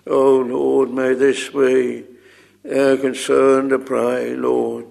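A man leading an extempore public prayer, solemn and halting, in archaic thee-and-thou language. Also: mid-range voice, flat delivery, creaky, darkish tone.

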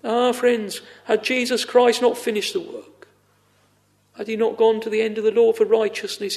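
High-voiced, creaky man preaching fervently. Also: darkish tone. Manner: exclamatory, repeats phrases for emphasis, frequent sighing interjections. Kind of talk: fervent extempore evangelical sermon.

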